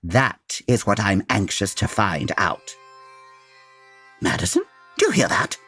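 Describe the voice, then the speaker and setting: A man playing a learned, eager gentleman detective, formal and theatrical, in a comedy audio drama. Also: on the low side, sing-song, a little slow, breathy edge, soft background music, full character voice. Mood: storytelling, eager. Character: learned, eager, gentlemanly Victorian detective, patient but exasperated.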